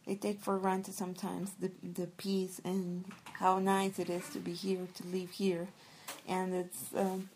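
A woman's voice: mid-range voice, a little mellow. A woman from El Salvador, a guest speaking reflectively and searching thoughtfully for her words. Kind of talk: reflective conversation.

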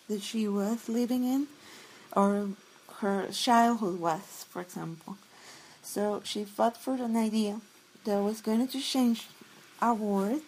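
A woman's voice: mid-range voice, darkish tone, poor audio. A woman from El Salvador, a guest speaking reflectively and searching thoughtfully for her words. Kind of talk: reflective conversation.

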